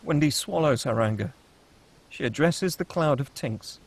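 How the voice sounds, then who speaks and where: A man's voice: mid-range voice, lively intonation, normal speed. A man reading scripted screenplay action and scene headings as the narrator of a table read. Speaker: narrator reading stage directions.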